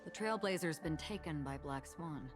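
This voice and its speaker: A woman playing a calm, enigmatic wanted wanderer in a video game, cool, measured and reflective. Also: low voice, natural voice. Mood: composed, reflective. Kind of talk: scripted video game dialogue. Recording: game music behind the voice.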